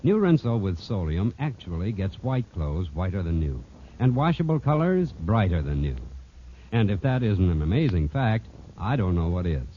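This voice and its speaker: A man's voice: low voice, big pitch swings, moderate pace. A man announcing a scripted 1940s radio advertisement in an emphatic, polished selling manner. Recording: muffled, noisy old radio recording.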